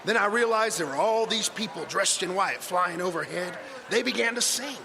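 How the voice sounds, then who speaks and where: An earnest, excitable shepherd who insists on being believed, speaking in a folksy, pleading manner. His voice is on the higher side, caught in a live stage recording with background noise. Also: moderate pace, gravelly. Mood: insistent.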